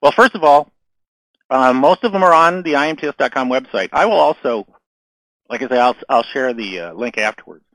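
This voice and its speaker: A man's voice: medium pitch, lively intonation, a little fast, a bit bright, dry recording, low-quality recording. A man giving a casual online marketing webinar, answering questions, losing his voice and coughing now and then, with lots of fillers and repeated words. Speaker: webinar presenter.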